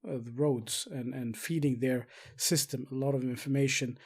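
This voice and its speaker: A man presenting investing commentary as a show host, speaking haltingly with frequent filled pauses. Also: medium pitch, steady tone, darkish tone, slightly rough. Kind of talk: semi-scripted stock market commentary.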